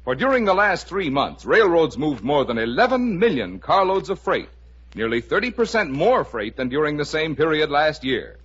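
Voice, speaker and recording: A man's voice: a little high, very animated, moderate pace, a bit bright, husky. A man reading scripted sponsor narration as a 1950s radio announcer, with formal, emphatic phrasing. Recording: muffled 1950 radio broadcast.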